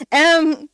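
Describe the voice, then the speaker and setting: An actress playing a reserved, hesitant woman in a dramatized stage reading, measured and reluctant. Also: sits high, no room sound. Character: reserved, hesitant woman confiding in her friend.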